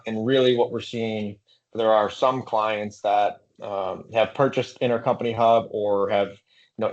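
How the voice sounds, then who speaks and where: A man giving an explanatory business presentation as a consultant, with hesitant phrasing and frequent filler phrases. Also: on the low side. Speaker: consultant presenting a webinar series. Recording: dull, lo-fi band-limited audio.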